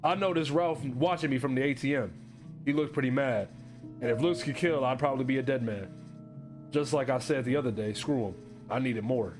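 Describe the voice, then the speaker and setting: A man's voice: mid-range voice, fairly quick, faint music behind, lightly acted. An actor playing a broke, desperate divorced man who narrates his analog horror story in a resigned, confessional, wry way. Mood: uneasy.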